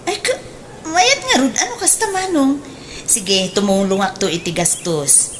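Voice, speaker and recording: A woman's voice: on the high side, expressive, unhurried. A woman playing an eager, enterprising grown daughter in a radio drama, with an Ilocano accent and exclamatory, swooping delivery. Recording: faint background noise.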